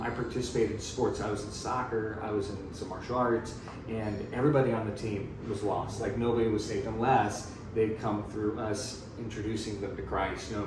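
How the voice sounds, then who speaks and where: Echoey far-off man in his thirties, low. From grew up in Thailand. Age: 30s. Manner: relaxed, rambling with frequent fillers. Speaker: missionary guest. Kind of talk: casual storytelling. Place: room in Thailand. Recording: echoey room, distant mic, noisy.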